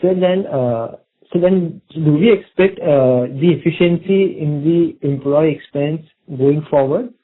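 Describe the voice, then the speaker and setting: A man's voice: fairly high pitch, a little slow. Polite, hesitant man calling on narrow phone line. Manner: polite, hesitant, repeats words. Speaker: analyst caller asking questions. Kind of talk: spontaneous question on earnings call. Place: conference call line. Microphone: telephone.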